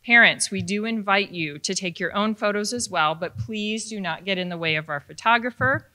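Bright-voiced woman presenting at a ceremony. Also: medium pitch, normal speed. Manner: friendly, lightly joking, formal announcements. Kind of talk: commencement address at a podium. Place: large wood-panelled hall with an audience. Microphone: podium microphone.

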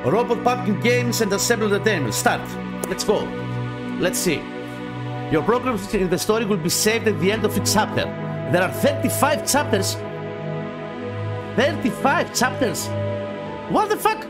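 Male gaming host, Greek accent, higher-pitched voice, halting read-aloud with offhand comments, music underneath. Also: very animated. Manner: halting reading, often repeating phrases, swearing in asides. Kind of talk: casual let's play, reading game text aloud with asides. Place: small dim home gaming room. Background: game music.

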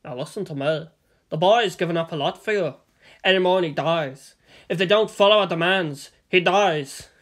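A cruel male kidnapper from a dark audio story, acted out by the narrator. He is leering and contemptuous. His delivery is menacing and taunting, like a bully in control. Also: medium pitch, moderate pace, close to an everyday voice. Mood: threatening.

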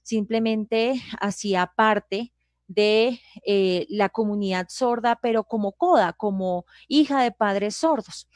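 A woman, a sign language interpreter introducing herself on a live panel, speaking steadily with frequent "eh" pauses. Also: mid-range voice, dry recording, studio quality. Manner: steady, hesitant "eh" fillers.

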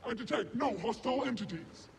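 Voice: medium pitch, expressive, a little fast, creaky, slight background noise. An actor voicing a rigid, obedient battle droid in a video game, clipped and emotionless, through a robot filter. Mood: stern, robotic. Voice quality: electronic, grating.

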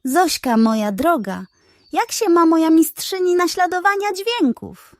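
A woman voicing a sly, greedy male pug dog in a children's storybook, a fast-talking schemer with a wheedling, theatrical manner. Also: very high voice, animated, darkish tone, no room sound, pitched above the performer's own voice, heavily acted. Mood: wheedling flattery.

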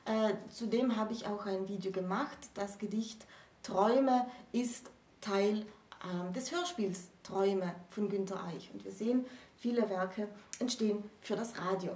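A woman presents an explanatory talk in a deliberate, conversational way. Her tone is mellow and relaxed. The recording is roomy, with the microphone some distance away. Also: medium pitch. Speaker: co-presenter explaining literary history. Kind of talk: conversational lecture. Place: small indoor room with bookshelves.

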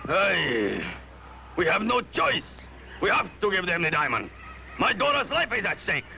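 High, gravelly cartoon island chief. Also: male voice, very animated, a little slow, bright, forceful tone, a little hiss or hum, heavily acted, low-quality recording. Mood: anxious bluster. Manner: blustering, emphatic, slangy. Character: excitable island chief, a protective blustering father. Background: faint background music.

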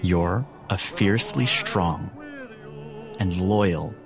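A man playing a calm, controlling asylum doctor who speaks in a measured, deliberate way with soothing authority. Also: deep voice, over music, full character voice. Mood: measured, persuasive.

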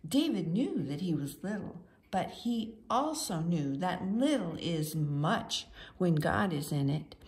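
A woman's voice: on the low side, very animated, slow pace, darkish tone. A woman reading a children's storybook aloud with theatrical, sing-song emphasis, as a storyteller does for young listeners. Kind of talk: expressive read-aloud storybook narration.

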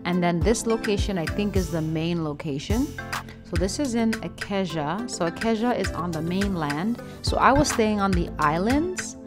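A young woman, giving a chatty, playful and expressive casual vlog voice-over. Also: lowish voice, normal speed. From Canada. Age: young adult. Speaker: vlogger, food reviewer. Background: background music.

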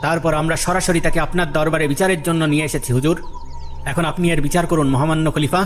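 A man's voice: on the high side, steady tone, fast, lightly acted. Man acting a court officer, formal, deferential, cartoon drama delivery, faint music behind. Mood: respectful report. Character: respectful officer reporting to the caliph.

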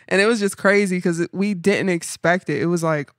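A young woman with a deep voice, chatting as a guest musician on a podcast, telling stories with animation and frequent laughter. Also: brisk, no room sound, studio quality. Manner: animated storyteller, laughs often. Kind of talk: casual podcast chat. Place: living room, indoors.

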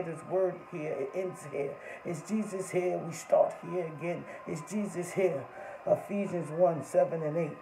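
A man's voice: high voice, mellow, reverberant, slight background noise, low-quality recording. Fervent man praying in rhythmic refrains. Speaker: preacher leading prayer. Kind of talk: fervent spoken prayer.

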